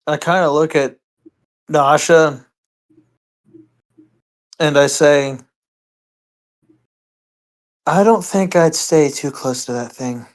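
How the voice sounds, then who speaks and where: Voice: medium pitch, takes it slowly, long pauses. A tabletop role-playing player talking casually and hesitantly, thinking aloud while describing what their character does. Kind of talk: casual tabletop roleplay chat.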